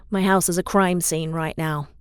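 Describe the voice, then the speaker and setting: A woman's voice: on the low side, steady tone, slow, clear, resonant tone, dry recording, studio quality. A woman playing a grieving, guilt-ridden young superhero in an audio drama, confiding in a conversational way. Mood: downcast.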